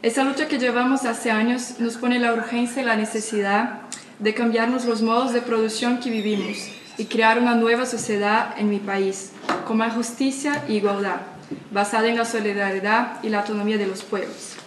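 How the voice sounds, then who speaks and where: A Brazilian woman with a Portuguese accent delivers an earnest, declamatory speech at a formal event, with a few slips and restarts. Her voice sits fairly high. She is heard from a distance in a large, echoing hall. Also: fairly even intonation, moderate pace, a little hiss or hum. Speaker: activist speaker. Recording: echoey hall, speaker away from the mic.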